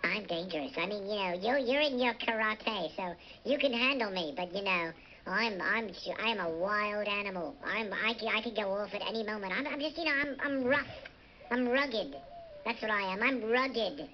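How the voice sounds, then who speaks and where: A man voicing a cute, boastful British chipmunk who chats excitably on a radio phone-in show. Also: sits high, normal speed. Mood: playfully boastful. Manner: chatty, excitable, self-deprecating boasting. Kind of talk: comic radio phone-in character chat. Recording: muffled radio replayed through a device speaker, faint noise.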